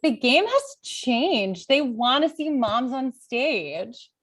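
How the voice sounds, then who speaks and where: A woman's voice: high voice, big pitch swings, slow, fairly bright tone, breathy edge, heavily acted. A woman reading the part of an effervescent comedian in her late thirties at an online table read, breezy and emphatic. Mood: confident.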